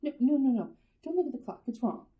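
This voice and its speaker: A woman's voice: sits high, animated, quick pace, phone-quality sound. A woman playing an anxious suburban housewife and mother in an old-fashioned radio drama, hesitant and fretful as she hides her worry. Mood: nervous, fretful. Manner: hesitant, repeating words, fretful.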